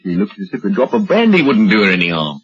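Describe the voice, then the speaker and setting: An actor playing an inquisitive, gossipy British village policeman with a husky voice and a matter-of-fact manner, in old radio drama style. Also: fairly low pitch, huge pitch swings, fast, loud music behind the voice. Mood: matter-of-fact, grim. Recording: dull old radio recording.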